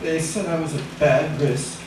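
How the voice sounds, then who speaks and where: An actor playing a weary, stubborn middle-aged husband in a 1940s radio drama, speaking in a terse, brooding way. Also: male voice, a little high, normal speed, natural voice. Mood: weary, despairing. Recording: echoey, noisy old radio recording, distant.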